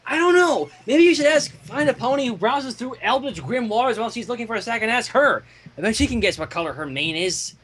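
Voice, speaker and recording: A man's voice: very high voice, very animated, a little fast, fairly bright tone, breathy edge, pitched above the performer's own voice, exaggerated voice. A man voicing exasperated, sassy female cartoon ponies who bicker indignantly and sarcastically. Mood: sarcastic.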